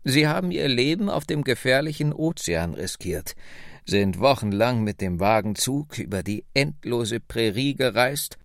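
A man reading the lines of a wry, nearly hundred-year-old woman telling her family's story, slowly and slyly. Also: mid-range voice, animated, dry recording, studio quality. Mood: reminiscing. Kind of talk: radio book reading.

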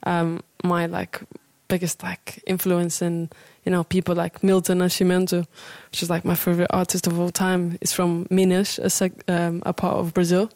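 A woman's voice: on the low side, monotone, moderate pace, hardly any pauses, a little mellow, no room sound. A woman in her twenties from Portugal with a British accent, chatting casually and thinking aloud in an interview as a musician.

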